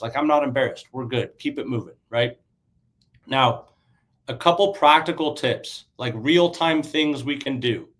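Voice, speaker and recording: Stuffy, raspy man coaching casually. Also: mid-range voice, a bit bright. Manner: loose, conversational, peppered with "right?" and "bro". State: stuffy and raspy from a sinus infection. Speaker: dating coach. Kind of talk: casual livestream coaching talk.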